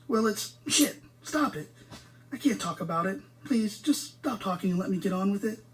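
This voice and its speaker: A woman's voice: medium pitch, expressive, moderate pace, natural voice. Woman playing a blunt, flustered female technician. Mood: irritated, hesitant. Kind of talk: naturalistic audio drama acting.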